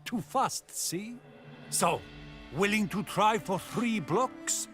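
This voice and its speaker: An actor playing a cocky, playful duelist in a fantasy video game, taunting in a theatrical sing-song way. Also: male voice, high-pitched, animated, slow pace, fairly bright tone, full character voice. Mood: teasing, eager. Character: cocky, playful male duelist boasting of his speed. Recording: game music behind.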